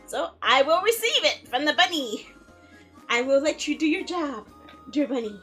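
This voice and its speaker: A woman game streamer reading a visual novel aloud in a theatrical, expressive way, with playful asides. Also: high-pitched, normal speed. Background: background music.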